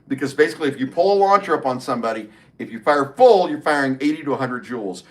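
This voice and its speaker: A man's voice: mid-range voice, lively intonation, fast. A middle-aged man hosts a casual livestream. He talks loosely and sociably, replying to chat comments by name as they come in. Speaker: livestream host. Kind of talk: casual live stream chat.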